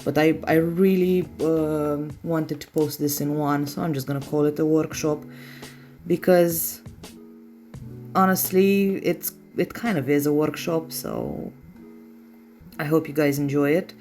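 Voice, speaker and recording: A woman's voice: low-pitched, fairly even intonation, a little slow, mellow, soft background music. A chatty, self-deprecating woman with a non-native English accent, giving a casual nail art tutorial in voice-over. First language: not English.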